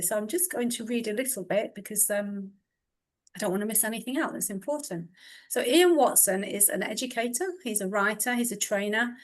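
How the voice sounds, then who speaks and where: A woman with an English accent hosting an online session, introducing speakers in a chatty, self-deprecating way and reading from notes. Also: a little high. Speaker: host. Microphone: computer mic on a video call.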